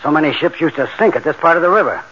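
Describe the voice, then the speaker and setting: An actor playing a tough, take-charge bank robber in a 1940s radio drama, with colloquial, commanding, streetwise talk. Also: male voice, a little high, lively intonation, racing, slightly rough. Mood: explaining, intent. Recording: muffled, noisy old radio recording.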